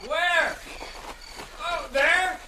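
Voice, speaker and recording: A grumbling, sceptical adventurer in a pulp radio serial. His voice is high and sharp, testy and incredulous. Frogs and insects sound faintly behind him. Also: very slow, a little hiss or hum, exaggerated voice. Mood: irritated. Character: grumbling, sceptical young male adventurer, an electrical genius. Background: jungle night frog and insect ambience.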